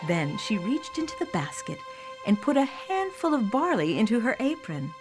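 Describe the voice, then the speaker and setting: A woman's voice: on the high side, sing-song, moderate pace. A woman storyteller reading a scripted fairy tale for children, with music behind her voice.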